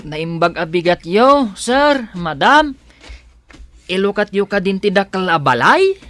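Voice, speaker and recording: Humble rural man, Ilocano accent, deferential, swinging intonation, unhurried, radio drama. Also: sits high, very animated, a bit bright, exaggerated voice. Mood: polite, eager.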